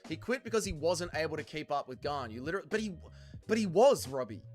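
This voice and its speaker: A man's voice: on the high side, lively intonation, quick pace, darkish tone. A young man, hosting a casual livestream and bluntly arguing with chatters he reads out. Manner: heated, blunt, calls chatters trolls. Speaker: livestream host reading chat.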